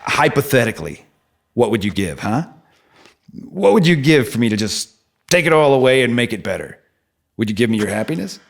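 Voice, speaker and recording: A man's voice: mid-range voice, sing-song, creaky. An actor playing a mysterious male stranger in an audio drama, a sly tempter who teases and coaxes without hurry. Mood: sly, taunting. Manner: teasing, coaxing, unhurried.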